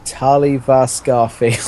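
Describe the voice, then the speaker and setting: A man's voice: medium pitch, level tone, takes it slowly, warm tone, slight background noise. A man reading fan fiction aloud in a casual, comic way, amused and often breaking into laughter.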